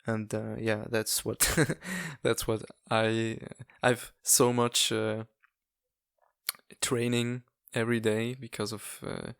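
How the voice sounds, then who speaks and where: Swiss non-native podcast host, young man, slow and monotone, lots of hesitations, dry close sound. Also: lowish voice, gravelly, smooth, no room sound. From Switzerland. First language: not English. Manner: halting, many fillers and restarts. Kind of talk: casual solo monologue.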